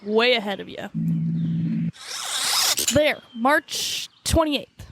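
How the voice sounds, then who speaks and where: A woman's voice: a little high, animated, drawn-out words, a bit bright, slight background noise, full character voice. A woman playing a wry, vacation-loving time-crimes officer in a radio drama parody. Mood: focused.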